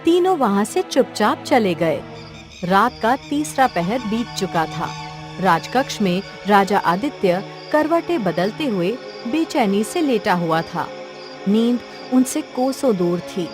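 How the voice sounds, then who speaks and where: A woman's voice: medium pitch, big pitch swings, takes it slowly, music in the background. A woman narrating a scripted children's cartoon story as the storyteller.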